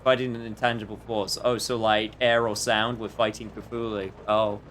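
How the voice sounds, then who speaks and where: A man giving casual, rambling, jokey live commentary while he plays a video game, with animated outbursts. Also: on the low side, normal speed, a little hiss or hum. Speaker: streamer playing a video game.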